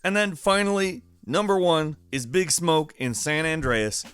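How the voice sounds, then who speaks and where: A man's voice: a little high, unhurried, fairly bright tone, clear, resonant tone. A man hosting a gaming countdown, narrating casually with wry, offhand asides. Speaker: host of a gaming countdown show.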